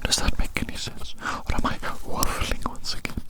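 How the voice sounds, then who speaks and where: An ASMR performer whispering breathily right up close to the microphone in a slow ramble, softly repeating phrases.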